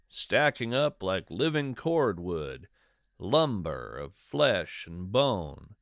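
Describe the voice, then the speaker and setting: Slow, expressive man musing in dry sound. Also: medium pitch, no echo. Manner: musing, folksy, gently whimsical. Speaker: podcast host and narrator. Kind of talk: in-character whimsical fiction monologue, conversational storytelling.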